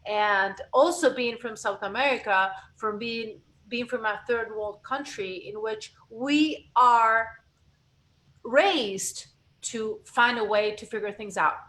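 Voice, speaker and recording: A woman from Argentina, speaking as a guest voice coach in a lively interview, restarting phrases as she talks. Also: a little high, slow pace. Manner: animated, expressive, frequent self-corrections. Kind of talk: conversational interview.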